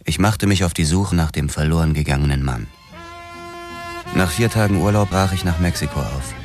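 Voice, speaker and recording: An actor playing a hard-boiled ex-boxer policeman, narrating a radio drama in a deadpan, world-weary way. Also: deep voice, normal speed, natural voice. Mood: wry, brooding. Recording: music behind the voice.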